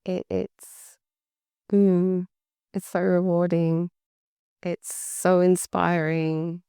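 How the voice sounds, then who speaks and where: A woman hosting her own podcast, talking slowly and reflectively, her voice deep and worn out from weeks of talking, often repeating words for emphasis. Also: flat delivery, warm tone, dry recording, clean studio sound. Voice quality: deep, gravelly. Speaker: podcast host. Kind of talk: solo podcast monologue.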